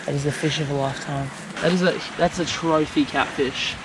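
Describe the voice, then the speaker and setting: A young man chatting excitedly while fishing on a creek bank, often repeating himself and exclaiming, recorded on an action camera. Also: fairly high pitch, a little fast. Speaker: fishing companion. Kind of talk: excited casual chat. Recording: outdoor camera audio with background noise.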